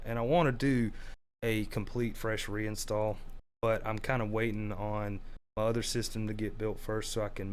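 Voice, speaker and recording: Gaming host, male, slightly low and a touch hoarse, loose chatty delivery with lots of fillers. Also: slight background noise. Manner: rambling, chatty, many fillers and restarts. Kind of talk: casual game tutorial commentary.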